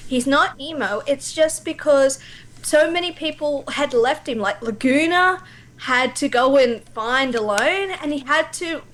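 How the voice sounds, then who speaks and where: High-pitched woman, emphatic and opinionated. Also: lively intonation, a little slow, a bit bright, low-quality recording. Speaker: guest voice actor. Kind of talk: casual chat, opinionated fan discussion.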